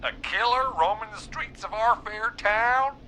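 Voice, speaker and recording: An actor playing a genteel, primly evasive male small business owner calling a radio show in a video game, with a theatrical, drawn-out delivery. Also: high voice, pushed and bright, a little hiss or hum, rough recording. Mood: theatrically horrified. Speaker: radio call-in caller.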